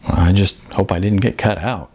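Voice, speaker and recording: A man's voice: low voice, normal speed, a little mellow, husky. A man voicing an eager, unsure young husband who stammers in an audiobook. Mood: deflated. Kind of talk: audiobook character voice.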